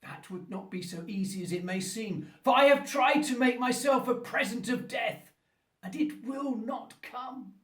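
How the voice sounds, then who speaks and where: A slow, high-pitched, wretched monster. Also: male voice, a bit bright. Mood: bitter, mournful. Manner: slow, grandiloquent, pleading and menacing delivery. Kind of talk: theatrical radio play acting. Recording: roomy echo, distant from the mic.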